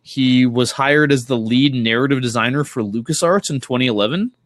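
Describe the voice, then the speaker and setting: A man's voice: medium pitch, dry recording. Rambling, sweary man chatting casually, dry recording. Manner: rambling, halting delivery with frequent self-corrections. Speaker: podcast host. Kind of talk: casual comics chat.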